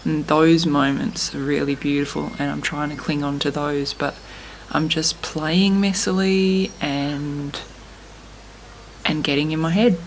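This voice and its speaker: A woman speaks slowly and thoughtfully in a low, gravelly voice that sounds croaky from singing. She talks candidly, with frequent pauses and fillers. Faint noise sits behind her. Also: steady tone. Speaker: musician vlogging at a festival. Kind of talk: reflective casual vlog talk.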